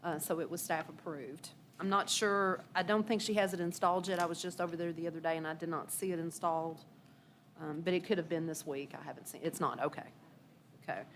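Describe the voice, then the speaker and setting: A woman with a lowish, slightly hoarse voice reports at a city board meeting. She hesitates and restarts often, filling gaps with "um". A faint hiss of room noise sits under her. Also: fairly even intonation, brisk, fairly bright tone. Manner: hesitant, many fillers and restarts. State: losing her voice. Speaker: city preservation staff.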